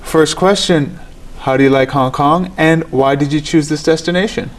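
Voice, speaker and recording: A man hosting a studio interview show, asking questions in a measured, formal way. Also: fairly high pitch, a little hiss or hum. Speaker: talk show host.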